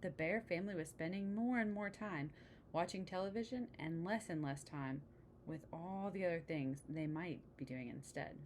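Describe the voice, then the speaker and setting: A woman's voice: fairly low pitch, moderate pace, a little mellow, a little hiss or hum. A woman reading a children's picture book aloud, chatting to young listeners as she explains the pictures. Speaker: read-aloud storyteller. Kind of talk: picture-book read-aloud with casual asides.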